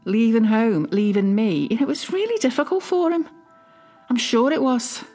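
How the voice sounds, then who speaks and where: A woman's voice: on the high side, expressive, darkish tone, soft background music. A woman playing an obsessively doting, self-justifying middle-aged British mother in a confessional audio drama, speaking intimately and defensively. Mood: possessive, justifying. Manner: intimate, defensive, hesitant pauses. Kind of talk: dramatic monologue, confessional fiction podcast.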